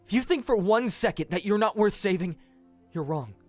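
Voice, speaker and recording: A performer playing an earnest, gentle, self-sacrificing young man in an audio drama, with heartfelt delivery. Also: mid-range voice, expressive, normal speed, soft background music, close to an everyday voice. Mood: apologetic, earnest.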